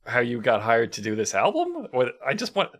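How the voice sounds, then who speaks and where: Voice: medium pitch, huge pitch swings, fairly quick, fairly bright tone. A podcast panelist riffing casually and incredulously, acting out little comic bits.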